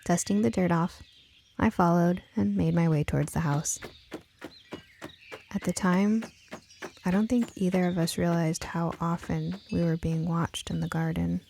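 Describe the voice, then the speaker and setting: A woman's voice: on the low side, steady tone, measured pace, gravelly, long pauses, warm tone, a little hiss or hum. A woman reading scripted first-person fiction as an audiobook narrator.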